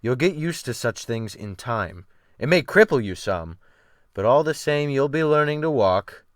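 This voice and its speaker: A man's voice: mid-range voice, expressive, normal speed, no echo, clean studio sound, lightly acted. A man voicing a commanding, condescending sea captain in an audiobook, patronising and assured. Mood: condescending, amused.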